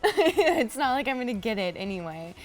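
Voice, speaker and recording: Self-deprecating young actress. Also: female voice, on the high side, big pitch swings, normal speed, soft background music. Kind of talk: casual storytelling in an interview.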